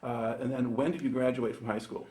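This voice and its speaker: A man's voice: mid-range voice, fairly even intonation, fairly quick, a little mellow. A man with an American accent conducting an informal oral history interview.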